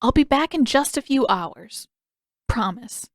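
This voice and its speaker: Young boy caretaker acted by a woman, light, lifted pitch, earnest and gentle, storybook reading. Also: expressive, unhurried, dry recording, studio quality. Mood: earnest, concerned.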